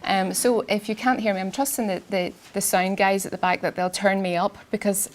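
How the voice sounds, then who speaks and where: A woman, an artist giving a public talk in a quiet, soft voice, hesitant with many ums and restarts, and she has a cold. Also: medium pitch, fairly even intonation, a little fast. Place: garden event space with sound system.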